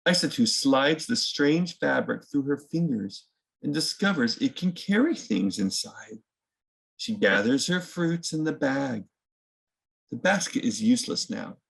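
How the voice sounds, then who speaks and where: A man's voice: medium pitch, expressive, unhurried, gravelly, fairly bright tone, rough recording. A man hosting a children's picture book read-aloud, mixing story narration with casual explanatory asides.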